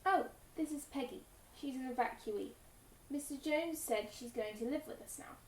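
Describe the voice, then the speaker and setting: A woman's voice: high-pitched, moderate pace, a little hiss or hum, close to an everyday voice. A woman playing an innocent, outspoken young farm daughter in a wartime audio play, with echoey room sound. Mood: matter-of-fact.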